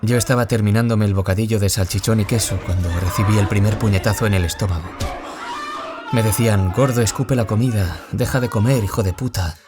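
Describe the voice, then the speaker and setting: A man's voice: on the low side, fairly even intonation, a little fast, loud music behind the voice, close to an everyday voice. An actor playing a wounded young man from Spain who was bullied, confiding his story as an audio-drama interviewee with a Spain Spanish accent. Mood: pained. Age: young adult. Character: wounded young man, former bullying victim, anguished, confiding. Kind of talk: scripted audio-drama monologue in interview form.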